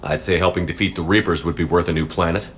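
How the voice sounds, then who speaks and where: An actor playing a resolute human military commander in a video game, direct and businesslike. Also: male voice, deep voice, steady tone, fast talker, slight background noise, close to an everyday voice. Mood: composed.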